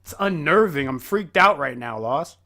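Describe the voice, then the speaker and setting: Casting: male reaction host, high bright voice, casual and exclamatory. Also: animated, moderate pace, soft background music. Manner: exclamatory, swearing.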